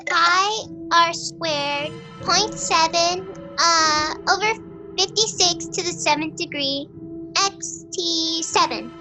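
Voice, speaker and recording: A woman's voice: very high-pitched, takes it slowly, over music. A woman playing a blunt, cheerful, matter-of-fact young girl in a radio play. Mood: casual, distracted. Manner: childlike, blunt.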